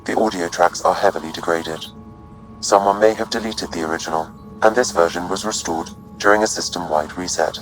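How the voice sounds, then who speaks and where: A calm male ship AI, speaking in a very deep, formal and precise way. Soft game music plays behind him. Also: low-quality recording. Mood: matter-of-fact. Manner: formal, measured.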